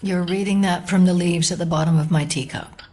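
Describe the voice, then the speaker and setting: An actress playing a composed, skeptical FBI agent with a measured, polite delivery in an audio drama. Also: female voice, on the low side, steady tone, darkish tone, faint music behind, lightly acted. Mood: guarded. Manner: measured, polite, matter-of-fact.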